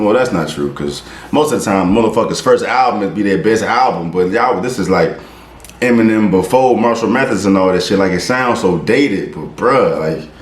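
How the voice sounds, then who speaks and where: A man hosting a reaction video, talking casually and excitedly, swearing often and restarting his sentences. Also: on the low side, roomy sound.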